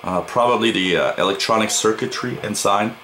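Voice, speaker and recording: A man with a fairly low voice talks through an unscripted product review. He speaks haltingly, pausing often as he works through his points. There is some noise behind him on the recording. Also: pushed and bright. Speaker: radio reviewer. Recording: noticeable background noise.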